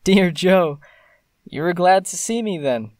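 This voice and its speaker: An actor playing a playful, proud young newlywed gentleman in an audio drama, with wry formality. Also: high voice, expressive, unhurried, dry recording, clean studio sound.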